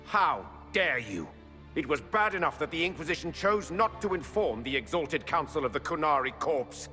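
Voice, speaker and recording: An actor playing a pompous, accusing noble envoy in a fantasy video game, haughty in delivery. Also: male voice, on the high side, very animated, normal speed, bright, forceful tone. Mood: indignant. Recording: hall reverb with music behind.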